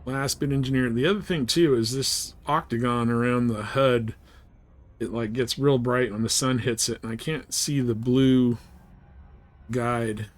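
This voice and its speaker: Man, game streamer, casual livestream chat, laid-back, informal, average pitch. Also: steady tone, a little slow. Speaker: live streamer.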